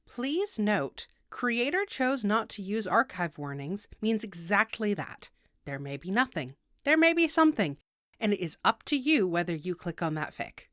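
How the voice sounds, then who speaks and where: A woman's voice: mid-range voice, a bit bright, no room sound. A woman with a mid-range voice narrates a scripted how-to guide. She is precise and instructional, slipping in wry asides. The sound is very dry, with little room to it. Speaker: video tutorial host.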